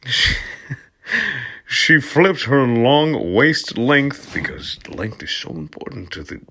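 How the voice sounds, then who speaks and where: A man reading fan fiction aloud in a dramatic comic style, sardonic and theatrical, dropping into murmurs and rising into loud outbursts. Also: mid-range voice, big pitch swings, slow, slight background noise.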